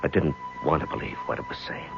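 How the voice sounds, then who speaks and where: A man's voice: sits low, huge pitch swings, fast talker, gravelly, heavily acted. An actor playing a haunted, uneasy husband who narrates his own story in an intimate radio drama style. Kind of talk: old-time radio drama acting, intimate first-person narration. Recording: muffled radio drama recording with music.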